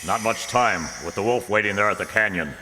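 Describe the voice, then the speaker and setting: A man playing a confident, heroic superhero in a radio drama, calm, commanding and thinking aloud. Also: lowish voice, brisk. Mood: determined. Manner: self-assured, narrating own actions aloud. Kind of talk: old-time radio drama acting. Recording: reverberant recording with clear background noise.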